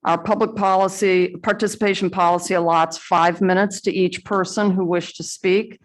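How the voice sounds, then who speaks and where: A woman presiding over a school board meeting, explaining the rules in a measured, procedural way with the odd filler. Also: fairly low pitch, fairly even intonation. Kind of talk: chairing a public meeting.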